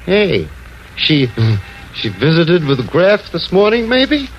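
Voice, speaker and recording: A man's voice: sits high, wildly swooping pitch, slow pace, a little hiss or hum, heavily acted. A man playing a sly, eager American tipster angling for cash, wheedling and slangy in a 1940s radio crime drama. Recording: dull vintage radio recording, faint music.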